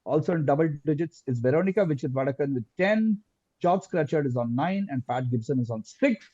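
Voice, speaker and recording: Male quiz host, mellow husky voice, deliberate question reading, close dry sound with dull lo-fi call audio. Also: a little high, no echo. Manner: deliberate, repeats answers and phrases. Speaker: quizmaster.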